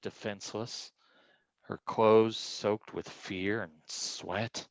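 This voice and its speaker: An actor playing a hard-boiled, blustering and rather dim private detective, talking tough slang in a comic noir staged reading. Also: male voice, on the low side, fairly even intonation, takes it slowly, dry recording, low-quality recording. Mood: exasperated bluster.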